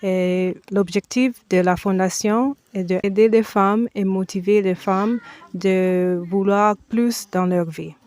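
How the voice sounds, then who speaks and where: A woman from the United States, answering a TV interviewer's questions slowly and with many hesitations. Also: mid-range voice, fairly even intonation, a little mellow. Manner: slow, halting, with frequent hesitations and restarts. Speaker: foundation president, guest.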